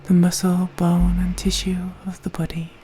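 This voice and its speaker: A woman gently leading a guided meditation in a soft, breathy voice, speaking slowly and soothingly with long pauses. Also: on the low side, monotone. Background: soft meditation music.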